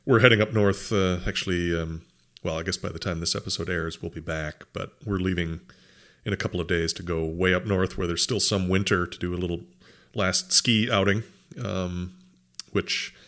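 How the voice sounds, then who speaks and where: Nasal, congested deep-voiced man. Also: steady tone, normal speed, fairly bright tone. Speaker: podcast co-host. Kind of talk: casual podcast chat.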